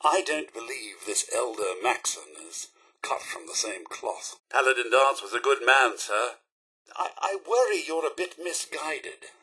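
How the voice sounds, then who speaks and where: An actor playing a polite, fussy robot butler in a video game, with a British accent and a formal, prim, drily droll manner. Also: male voice, medium pitch, expressive, a little slow, pushed and bright, slightly rough, heavily acted, low-quality recording. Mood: earnest, sombre. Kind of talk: scripted video game voice acting.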